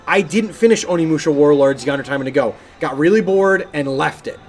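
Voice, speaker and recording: Slightly high-voiced man chatting casually. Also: brisk. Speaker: podcast co-host and game streamer. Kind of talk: casual enthusiastic gaming chat.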